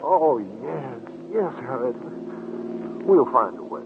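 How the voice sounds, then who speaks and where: A man's voice: mid-range voice, big pitch swings, takes it slowly, gravelly, exaggerated voice. A man playing a weak, anxious brother in a 1940s radio drama, hesitant, stammering and pleading. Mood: meekly relieved. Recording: narrow-band, faintly noisy old radio recording.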